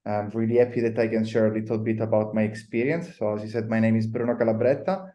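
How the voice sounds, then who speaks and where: A man with an Italian accent, a guest on a podcast interview, explaining at a measured pace with frequent hesitations. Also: on the low side, steady tone, dark tone, lo-fi sound. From Italy.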